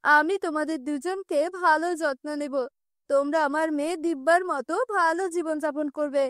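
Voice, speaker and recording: নারীকণ্ঠে স্নেহশীল, দৃঢ় কার্টুন মামা. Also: female voice, sits high, fairly even intonation, moderate pace, fairly bright tone, no echo, studio quality. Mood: grieving, caring. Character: kind, protective maternal uncle, firm with his wife.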